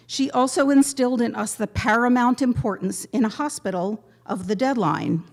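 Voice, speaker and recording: A woman giving a prepared memorial tribute to a gathering, speaking in measured, deliberate phrases with pointed pauses. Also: fairly high pitch. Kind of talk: prepared eulogy read aloud to a gathering.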